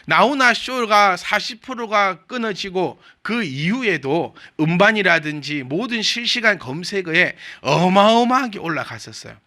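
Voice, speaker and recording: A man's voice: high voice, lively intonation, unhurried, hardly any pauses, bright, forceful tone. A male pastor with a Gyeongsang Korean accent gives a chatty, humorous sermon and keeps asking his congregation questions. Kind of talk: conversational sermon.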